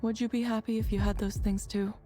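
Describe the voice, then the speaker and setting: An actress playing a composed, restrained counselor, speaking in a measured and clinically polite way in a visual novel. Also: female voice, on the high side, little pitch movement, warm tone, close to an everyday voice. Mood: calm, professional. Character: composed, restrained female counselor reading an AI's script. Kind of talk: naturalistic visual novel voice acting. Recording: music behind the voice.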